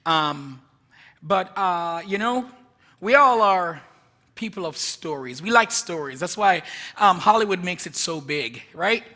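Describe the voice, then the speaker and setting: A man's voice: high voice, expressive, unhurried, sharp tone. A man from Jamaica with a Jamaican accent, preaching as a guest in a church in a chatty, rambling, self-joking way while moving around. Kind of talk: informal sermon. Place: church sanctuary.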